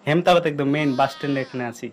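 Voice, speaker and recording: Very fast-talking young man, insistent. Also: a little high, a little hiss or hum. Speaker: prank caller and video host. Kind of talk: casual prank phone call.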